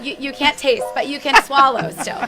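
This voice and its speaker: Eager, higher-pitched young woman guest. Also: fairly even intonation, normal speed. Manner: enthusiastic, many restarts and fillers. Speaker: plastic surgery resident, guest. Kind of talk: casual chat. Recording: talk with music under it.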